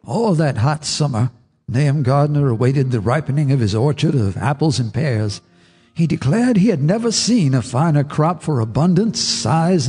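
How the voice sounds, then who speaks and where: An actor narrating a radio drama as an older farmer telling his memories in a measured, folksy way. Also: medium pitch, mellow, slightly rough. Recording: reverberant radio drama with music.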